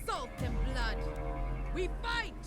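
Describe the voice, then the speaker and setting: A woman's voice: high voice, big pitch swings, exaggerated voice. A woman playing a bold, ruthless pirate queen in a video game, calling out to her crew in a commanding, theatrical way. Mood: fierce, commanding. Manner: commanding, theatrical, drawn-out battle calls. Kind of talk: video game unit voice lines. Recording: loud game music over the voice.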